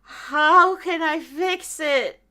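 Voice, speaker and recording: A woman's voice: high-pitched, extremely slow, soft background music. A woman chatting casually as a podcast host, acting out her own reactions as she tells stories. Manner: animated storyteller who acts out own reactions. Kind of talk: casual chat.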